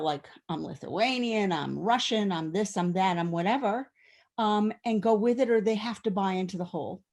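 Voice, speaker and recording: A woman's voice: medium pitch, darkish tone. A woman with a mid-range voice speaks up in a study group. She asks one searching question after another in an earnest, thinking-aloud way. She sounds like she is on a video call. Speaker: class participant. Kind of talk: asking questions in a discussion.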